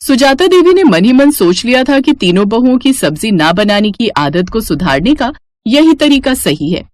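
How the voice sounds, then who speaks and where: A woman's voice: a little high, big pitch swings, normal speed, a little mellow, no echo. A woman narrating a scripted family moral story.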